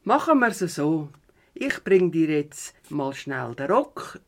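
A woman's voice: deep voice, sing-song, slow, exaggerated voice. A woman with a Swiss German accent playing an easygoing, supportive mother of a teenager in a youth radio play. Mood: reassuring. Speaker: actor in an audio drama.

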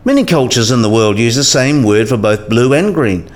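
Radio play professor, male actor as old, kindly, eccentric philosopher, mid-pitched, swooping intonation, teaches by musing aloud. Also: animated, normal speed, lightly acted. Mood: probing, didactic. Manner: musing, didactic. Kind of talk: scripted radio play dialogue.

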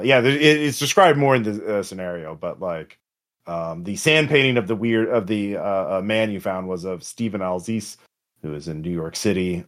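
Male game master, low voice, casual and sweary, explains scenarios with halting delivery. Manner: halting, trailing into pauses, sweary. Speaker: game master of a tabletop role-playing podcast.

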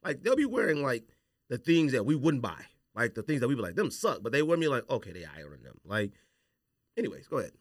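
A man's voice: medium pitch, sing-song, fast talker, no echo, clean studio sound. A man chatting casually as a podcast host, slangy and opinionated in the way he talks. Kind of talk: casual chat.

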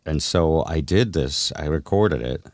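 A man's voice: extremely low pitch, measured pace, darkish tone, dry recording, studio quality. A middle-aged or older man hosting a casual comedic podcast, telling stories in a teasing, self-deprecating way. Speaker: radio show host.

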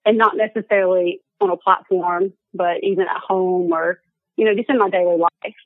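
A woman's voice: fairly low pitch, steady tone, moderate pace, no echo. A young woman with an Alabama accent, a guest chatting casually and laughing often. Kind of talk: casual chat. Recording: tinny phone line, dry.

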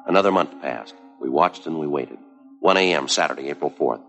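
Stoic American cop narrator: brisk, low, terse, businesslike, vintage crime-drama soundtrack. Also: male voice, gravelly, heavily acted. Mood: flat, businesslike. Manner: clipped, deadpan delivery. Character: stoic, businesslike male police detective sergeant narrating cases. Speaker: narrator and lead detective. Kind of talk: 1950s police drama narration, terse and factual. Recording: 1950s TV soundtrack with music behind.

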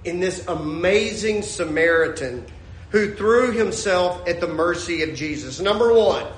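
A man preaching a sermon, his voice swinging from shouted peaks to a hushed storytelling tone. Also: high voice, animated, a little slow, reverberant. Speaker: pastor.

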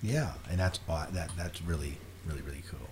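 A man hosting a casual podcast interview, asking his questions in a halting, rephrasing way. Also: rumbling low, darkish tone, slight background noise. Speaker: podcast host.

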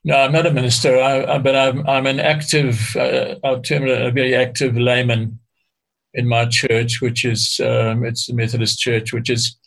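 Gravelly older man. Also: mid-range voice, level tone, fairly bright tone, low-quality recording. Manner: hesitant, measured, frequent restarts. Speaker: interview guest. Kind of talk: explaining history in conversation.

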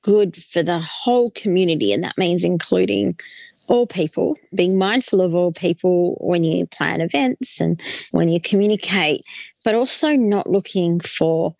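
A woman's voice: on the low side, unhurried, gravelly, darkish tone, dry recording, dull audio. A woman, a guest author on a podcast, answering in an earnest, fluent way with casual fillers. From Australia.

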